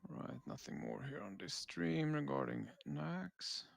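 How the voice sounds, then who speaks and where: A man's voice: fairly high pitch, huge pitch swings, unhurried, vocal fry. A man giving casual, laid-back gameplay commentary as a raid leader, explaining tactics as he goes.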